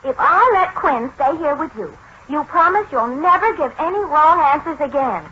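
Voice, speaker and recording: A woman playing a bossy, wisecracking young woman with a brisk, slangy manner in 1940s radio drama. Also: high-pitched, animated, bright, forceful tone. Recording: noisy, muffled 1940s radio recording.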